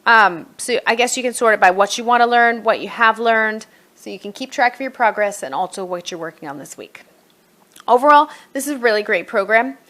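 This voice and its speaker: Middle aged woman doing a chatty review walkthrough, kinda thinking out loud while she clicks around. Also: on the high side, animated, fairly quick. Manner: conversational, thinking aloud while navigating. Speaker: homeschool curriculum reviewer. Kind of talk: casual screen walkthrough review.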